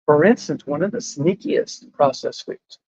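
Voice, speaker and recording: A man's voice: on the high side, unhurried, a little mellow, breathy edge, no room sound, dull audio. A man in a casual podcast conversation, explaining whole foods in a patient way and laughing often. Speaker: lifestyle medicine advocate.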